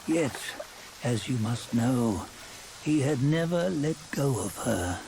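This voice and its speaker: An actor playing a grave, judgmental guide in a fantasy game, speaking in solemn, archaic phrases with a cryptic, mocking edge. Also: male voice, mid-range voice, expressive, takes it slowly, gravelly, dark tone, a little hiss or hum, low-quality recording. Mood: grave, knowing. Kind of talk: fantasy game cutscene acting.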